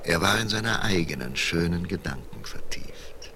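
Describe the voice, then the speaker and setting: A man's voice: low voice, lively intonation, unhurried, pushed and bright, slight background noise. A man narrating a children's fairy tale in scripted storyteller style, on a 1970s vinyl recording.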